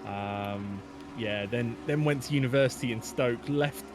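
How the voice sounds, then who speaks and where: A man's voice: medium pitch, a little slow. Rambling chatty male race commentator. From England. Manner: chatty, rambling, many fillers, reads chat aloud. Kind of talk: casual live race commentary.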